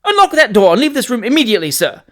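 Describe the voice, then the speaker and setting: A reader voicing a flustered, easily indignant young gentleman who speaks in a fussy, indignant manner. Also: medium pitch, very animated, rapid-fire, voice pushed up.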